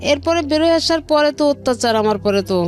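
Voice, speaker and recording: Middle-aged Bangladeshi woman, high voice, earnest interview guest recounting her life, repeating phrases, music underneath. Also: animated, brisk. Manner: earnest, repeats phrases, acts out quoted speech. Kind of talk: personal storytelling in an interview. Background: background music.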